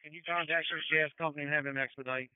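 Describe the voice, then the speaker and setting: Fairly high male voice on narrow radio. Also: fairly even intonation, fast talker, clean tone, dry recording. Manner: terse, procedural. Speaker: fire incident commander. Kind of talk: emergency radio dispatching. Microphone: two-way radio. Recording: phone-like fire radio channel.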